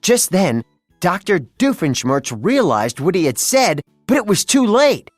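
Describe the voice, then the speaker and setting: A narrator reading a children's story aloud in a scripted, dramatic and deliberate way. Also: mid-range voice, very animated, dry recording, studio quality, soft background music.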